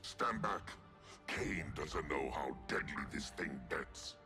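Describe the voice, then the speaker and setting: An actor voicing a bloodthirsty, mocking demon from a video game, growling with slow, sardonic menace. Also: male voice, a bass voice, lively intonation, pushed and bright, soft background music, full character voice. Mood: menacing. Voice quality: gravelly, growling. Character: monstrous male demon living in a scythe, bloodthirsty, gleefully mocking. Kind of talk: video game character voice lines.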